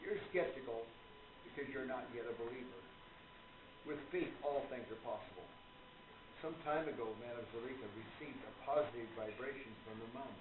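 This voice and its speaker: An actor playing a courteous, earnest gentleman author devoted to spiritualism, speaking formally in a radio play. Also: mid-range voice, a little fast, lightly acted. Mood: fond, devout. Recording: muffled phone-like sound, loud noise, echoey room.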